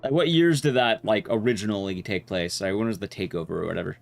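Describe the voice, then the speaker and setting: A man's voice: fairly low pitch, fast talker, creaky. A man livestreaming a video game, giving casual gameplay commentary while chatting with his viewers.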